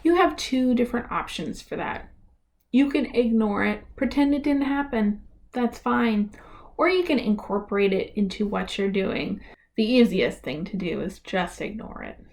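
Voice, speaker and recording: A woman giving an instructional presentation for families, speaking with clear, deliberate enunciation. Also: mid-range voice, lively intonation, darkish tone. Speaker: presenter of a library reader's theater tutorial.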